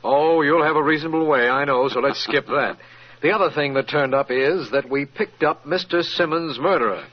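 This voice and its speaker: A man playing a matter-of-fact, impatient official with a slightly husky voice in an old-time radio mystery. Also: fairly high pitch, normal speed, close to an everyday voice. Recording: muffled vintage radio recording.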